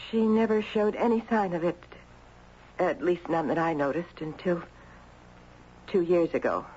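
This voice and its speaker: A woman playing a polite, protective, grieving mother, measured and guarded, in a muffled, noisy 1970s radio drama. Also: on the low side, darkish tone, close to an everyday voice. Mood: guarded, sombre.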